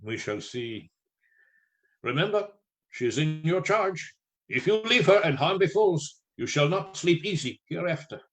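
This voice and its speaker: Commanding, grave professor, higher-pitched voice. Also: male voice, animated, measured pace, fairly bright tone. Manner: imperative, formal, solemn phrasing. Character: commanding older male professor, urgent, grave, learned foreign doctor. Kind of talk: radio play acting.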